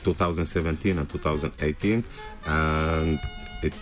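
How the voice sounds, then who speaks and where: A Tunisian man with a very deep, low voice speaks as a radio guest. He talks haltingly, with frequent "uh"s as he searches for words. Faint music plays behind him. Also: fairly even intonation. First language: Arabic. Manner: slow, halting, many fillers.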